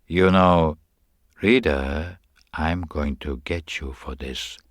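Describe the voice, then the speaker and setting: Actor playing a blunt, menacing gang boss. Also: male voice, extremely low pitch, slow, no echo. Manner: blunt, threatening. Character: dapper, menacing male London gang leader.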